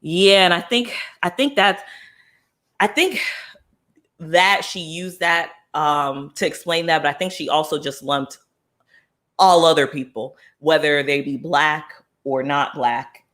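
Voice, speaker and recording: A man from the United States hosting a casual book club discussion, thinking aloud with frequent fillers and restarts and swinging between excitement and thoughtful pauses. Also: on the high side.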